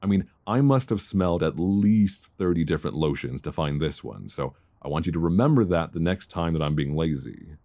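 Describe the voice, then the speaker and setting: A man playing an affectionate, bookish boyfriend in an intimate ASMR roleplay, speaking softly, tenderly and soothingly close to a binaural microphone. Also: sits low, expressive. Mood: affectionate, playful. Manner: soft, tender, unhurried, soothing.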